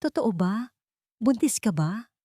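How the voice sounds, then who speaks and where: A woman's voice: on the high side, lively intonation, takes it slowly, dark tone, no echo, studio quality, voice pushed up. A woman voicing a wealthy male CEO, earnest and pleading, in a romance audio drama. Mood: shaken.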